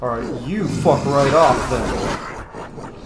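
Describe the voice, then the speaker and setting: A man's voice: medium pitch, drawn-out words, over loud music. A man giving casual, sweary gameplay commentary as a let's play host, talking to the game's characters as he plays. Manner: sweary, talks to game characters. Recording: roomy echo, clear background noise.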